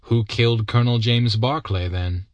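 Man doing a cool confident detective, crisp and sure of himself. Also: no room sound, muffled recording, lightly acted. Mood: assured. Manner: crisp, assured, quicker and higher than the narration. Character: brisk, confident male detective, sharp and composed. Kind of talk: audiobook character reading.

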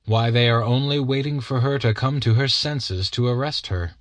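A man voicing a confident, composed detective who speaks in a crisp, assured way. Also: no room sound, lightly acted. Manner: crisp, assured, quicker and higher than the narration. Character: brisk, confident male detective, sharp and composed. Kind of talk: audiobook character reading.

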